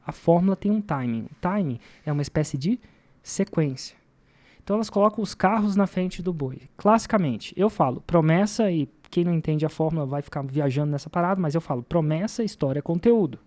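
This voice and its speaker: A man's voice: fairly high pitch, animated, brisk, darkish tone. A man with a Brazilian Portuguese accent talks casually as a podcast host. He explains and tells anecdotes in a self-deprecating, informal way. He often repeats a phrase to drive it home. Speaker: podcast host and marketing teacher.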